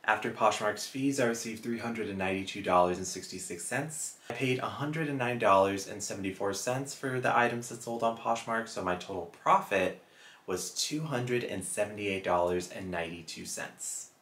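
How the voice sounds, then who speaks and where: A man's voice: on the low side, moderate pace, a bit bright, roomy sound. A man in his thirties from Texas hosting a casual reselling vlog, chatting upbeat to the camera and reading out sales figures. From Houston, Texas. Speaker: reselling channel host. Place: small room indoors, plain wall. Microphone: fixed camera at chest-up framing.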